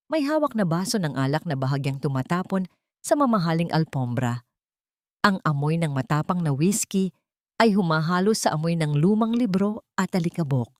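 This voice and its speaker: A woman's voice: sits low, a little mellow, no echo, clean studio sound. A woman with a Filipino accent narrating a dramatic scripted story as an audiobook reader.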